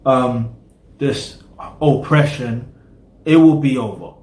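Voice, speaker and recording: A man's voice: medium pitch, slow, darkish tone, roomy sound, low-quality recording. A British man, casually chatting through a music reaction video and rambling with lots of "you know what I mean". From Britain.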